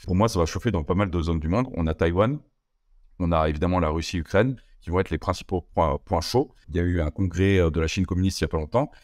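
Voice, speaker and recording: A young man from France with a very low voice talks fast in a casual interview, stumbling through fillers and restarts. The sound is close and dry, with no room around it. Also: a little mellow, no room sound. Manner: hesitant, many fillers and restarts. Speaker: interview guest, entrepreneur.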